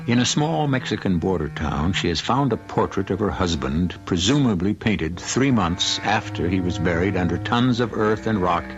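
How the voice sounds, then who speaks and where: A man's voice: deep voice, animated, moderate pace, hardly any pauses. A man playing the wry, suspenseful host of an old-time radio mystery, narrating with theatrical, insinuating phrasing. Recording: muffled vintage radio recording, music behind.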